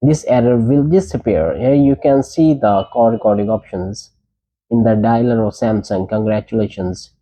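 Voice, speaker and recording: A man's voice: on the low side, normal speed, dark tone, poor audio. A man presenting a tech tutorial, giving short, plain step-by-step instructions.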